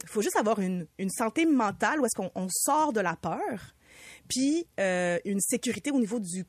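Animated woman guest on studio radio. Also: a little high, no echo. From Quebec. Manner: animated, enthusiastic, sprinkles English phrases. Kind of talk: casual radio interview.

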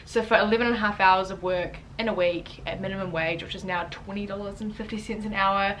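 Young New Zealand woman, student vlogger, rapid chatty delivery full of "like", faint room hiss behind. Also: medium pitch, fairly even intonation, fairly bright tone, low-quality recording. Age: young adult. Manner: chatty, fast, lots of "like" fillers, gestures with hands. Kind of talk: casual chat to camera. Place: bedroom, indoors. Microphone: camera in front at chest distance.

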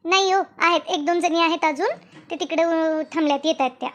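A woman voicing a brisk, bossy yet friendly village woman in a comedy cartoon, chatty and repetitive, with a Maharashtrian accent. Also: extremely high pitch, fairly even intonation. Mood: matter-of-fact. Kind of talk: Marathi comedy cartoon dialogue.

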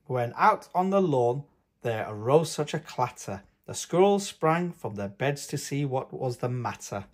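Very animated man reading a children's storybook. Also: mid-range voice, a little slow. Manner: expressive, singsong storytelling. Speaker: host of a children's read-aloud channel. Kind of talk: storybook read-aloud for young children.

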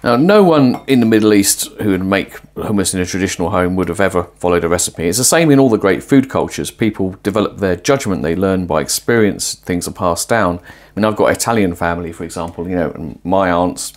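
A man in his forties talking to camera while cooking in a small home kitchen, conversational and musing, with lively emphasis. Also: sits low, fairly quick. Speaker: presenter of a cooking and philosophy video. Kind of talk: casual explanatory talk to camera while cooking. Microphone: lapel mic.

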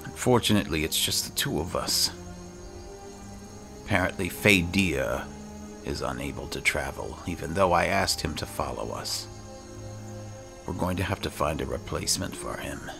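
A man narrating a fantasy game in character, measured, solemn and dramatic. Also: extremely low pitch, expressive, vocal fry, over music. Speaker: gaming video host playing in character.

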